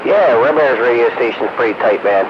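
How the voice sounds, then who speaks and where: A man chatting in a loose, halting, slangy way over a two-way radio. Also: medium pitch. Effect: two-way radio transmission. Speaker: radio operator in a group chat. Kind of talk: casual radio chat. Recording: muffled, noisy two-way radio.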